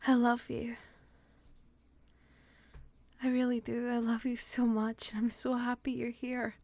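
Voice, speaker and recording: A woman playing a tender, excitable young woman in an improvised ASMR roleplay, hesitant and soft, slipping into whispers. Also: fairly high pitch, a little slow. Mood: tender, quiet. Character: young woman nervously leaving her hometown, tender and excitable.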